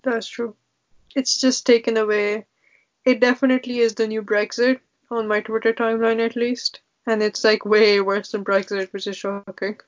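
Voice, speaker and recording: Young woman, podcast host, teasing chatter, flat even delivery, dull lo-fi sound. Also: fairly high pitch, normal speed. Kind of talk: casual sibling podcast chat.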